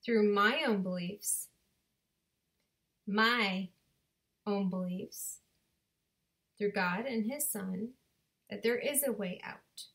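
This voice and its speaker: A woman in her thirties performing her own spoken word poem in a deliberate, rhythmic way, recorded on a webcam in her bedroom. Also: medium pitch, a bit bright. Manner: slow, deliberate rhythmic delivery with dramatic pauses. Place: bedroom at home. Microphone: webcam at desk distance.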